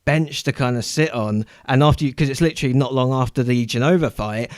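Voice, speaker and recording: A man chatting casually as co-host of a gaming podcast, often pausing to search for his words. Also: medium pitch.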